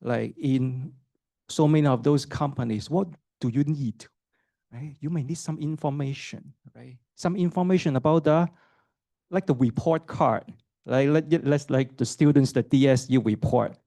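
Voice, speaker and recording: A man's voice: medium pitch, measured pace, vocal fry, dark tone, no room sound. Man, middle-aged, from hong kong, professor's admissions talk, chatty questions to the audience, dry close sound. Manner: conversational, asks the audience questions, many fillers and "right?" tags. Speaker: associate professor giving a program talk.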